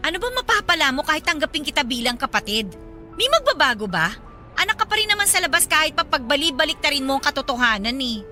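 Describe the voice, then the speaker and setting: Embittered older sister, young Filipino woman, very bright piercing high voice, blunt and scornful, radio drama, soft music behind. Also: sing-song, quick pace, full character voice. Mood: cold, rejecting. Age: young adult. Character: embittered, proud older sister leaving the province. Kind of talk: dramatized radio love story.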